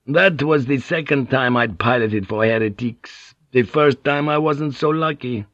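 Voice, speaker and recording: A man's voice: medium pitch, unhurried, fairly bright tone, dry recording, highs cut off, pitched above the performer's own voice, lightly acted. A man voicing a brash, swaggering Portuguese ship's pilot in an audiobook, cocky, bluffing and coarse-mouthed.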